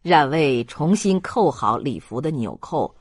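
Husky, expressive audiobook narrator. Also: medium pitch, measured pace, no echo, studio quality. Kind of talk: scripted literary narration.

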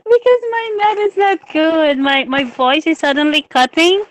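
A woman's voice: extremely high pitch, slow. A woman from Indonesia joining a video call on her mobile phone, shy, giggly and hesitant. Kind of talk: casual video call chat.

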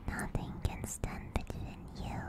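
A woman hosting an ASMR livestream, speaking softly and soothingly in a gentle, deliberate manner close to a binaural microphone. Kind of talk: ASMR, soft whispered personal attention. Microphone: binaural ASMR microphone at close range.

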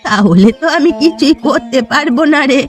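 A woman's voice: sits high, lively intonation, normal speed, soft background music. A woman voicing a poor, sorrowful old fisherwoman in a cartoon folk tale, plaintive and resigned.

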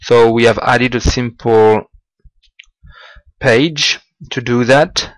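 A man giving a slow, halting walkthrough of business software over a screen recording, with small grammar slips. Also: mid-range voice, fairly even intonation, no echo, low-quality recording. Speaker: software demonstrator.

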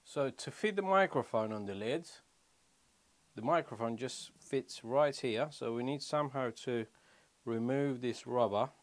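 Man, thirties, medium pitch, unhurried slow pace, casual vlogger, step-by-step explaining, short phrases. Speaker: motorcycle vlogger. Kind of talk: casual hands-on product walkthrough. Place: small wooden shed or garage room.

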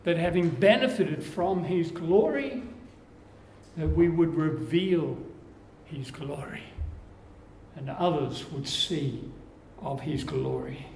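An older man with an Australian accent preaching a sermon, slow and measured, his phrases falling away at the ends. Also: fairly high pitch, vocal fry, darkish tone. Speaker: pastor. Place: church room with curtains. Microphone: lapel mic. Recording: echoey church room, faint background hiss.

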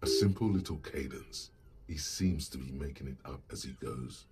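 Extremely deep inner voice. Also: male voice, normal speed. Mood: knowing, measured. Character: inner voice of a detective's instincts, knowing, observant narrator. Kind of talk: scripted game narration. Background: background music.

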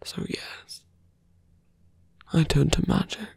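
A man voicing a seductive, sardonic fantasy villain in an intimate, breathy ASMR roleplay, murmuring slowly close to the mic. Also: darkish tone, no room sound, lightly acted. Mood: confiding, bitter. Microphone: close microphone, dry.

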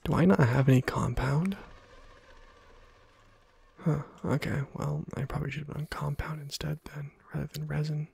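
A man softly murmuring and whispering ASMR gameplay commentary, musing to himself in a hushed, breathy way close to the microphone. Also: a little high, steady tone, moderate pace, pauses often. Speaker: gaming video host.

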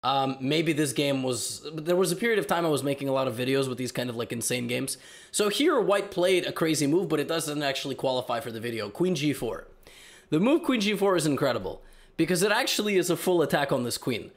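Hoarse young man commentating chess. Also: on the high side, brisk. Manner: animated, joking, hesitant fillers. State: hoarse from being sick. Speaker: chess video host. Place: small room indoors.

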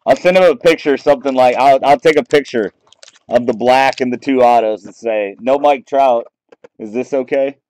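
A man in casual commentary, reading out cards as he opens packs at a table, relaxed and chatty, picked up by a camera mic. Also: medium pitch, moderate pace, rough recording. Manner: relaxed, chatty, reading out names and teams as he goes. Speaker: card break host. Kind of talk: casual commentary while opening trading card packs. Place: small room at a table, off camera.

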